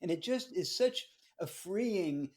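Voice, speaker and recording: A man co-hosting a read-along, talking casually and reflectively, often pausing mid-phrase. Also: high voice, lively intonation, a little mellow. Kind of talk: casual discussion with personal reflection.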